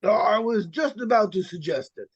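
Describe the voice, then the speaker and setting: A man's voice: high-pitched, animated, moderate pace, slightly rough, no room sound, muffled recording. A man playing an earnest, pedantic doctor of psychic phenomena in a 1940s-style radio drama, solemn and precise.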